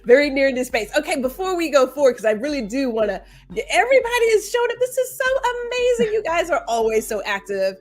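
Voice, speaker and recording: A woman's voice: high voice, big pitch swings, fast talker, few pauses. A woman hosting a live stream, chatting casually, laughing often and reading viewer comments aloud. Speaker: livestream show host.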